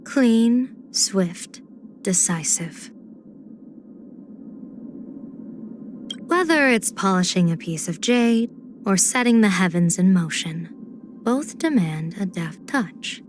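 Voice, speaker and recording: A woman's voice: medium pitch, expressive, slow, over music. A woman voicing a playful, confident general and diviner in a video game, with poised, theatrical, teasing phrasing. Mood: assured. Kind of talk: video game character voice lines.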